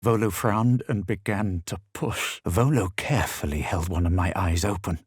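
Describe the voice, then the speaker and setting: A man acting as a self-assured, superior fantasy adventurer in a video game, with measured, dramatic delivery. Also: deep voice, darkish tone, breathy edge, no room sound, studio quality. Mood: solemn narration. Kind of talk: scripted video game voice lines, first-person narration.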